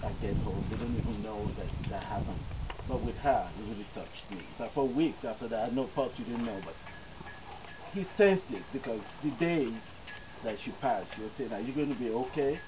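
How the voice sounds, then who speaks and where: A man's voice: medium pitch, normal speed. A middle-aged man with a Nigerian accent, a physician giving an earnest eulogy with many fillers, recorded outdoors by a far camera. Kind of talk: personal eulogy to an outdoor gathering. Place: outdoors under a tent on a grass field. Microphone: far camera, no mic. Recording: dull lo-fi camera audio with loud outdoor noise.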